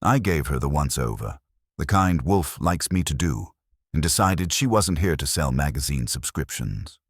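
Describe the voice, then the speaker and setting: Very deep-voiced man narrating detective fiction. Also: lively intonation, moderate pace, slightly rough, dry recording, clean studio sound. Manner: wry, wisecracking. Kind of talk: scripted first-person detective audiobook narration.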